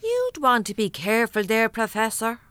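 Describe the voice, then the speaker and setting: An actress with a Dublin accent playing a blunt, chatty, familiar cleaner in a radio drama. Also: female voice, fairly high pitch, very animated, moderate pace, a bit bright, clean tone, dry recording. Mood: wry, chatty. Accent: Dublin Irish. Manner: plain-spoken, familiar. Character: blunt, chatty Irish female cleaner and bingo checker.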